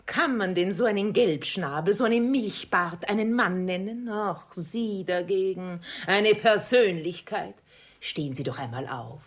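An actress playing a sly, flattering matchmaker in a German radio play, with an emphatic, wheedling, theatrical delivery. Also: female voice, mid-range voice, measured pace, natural voice. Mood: gushing flattery. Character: sly, flattering, scheming older female matchmaker angling for money.

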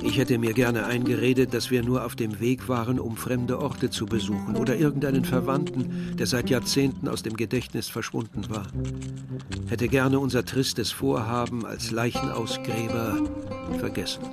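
Ein Schauspieler spielt den nachdenklichen jungen Dörfler, der in einem literarischen Hörspiel von seiner Reise erzählt. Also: male voice, medium pitch, fairly even intonation, moderate pace, loud music behind the voice, close to an everyday voice. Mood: wistful. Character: weary, observant young male villager narrating a journey. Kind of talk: literary radio drama narration.

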